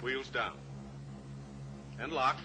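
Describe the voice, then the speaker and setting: An actor playing a calm, assured rancher-pilot in a 1950s radio drama, steady and fatherly in manner. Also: medium pitch, very slow, background noise. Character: steady heroic rancher-pilot, adult man. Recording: old radio recording with music.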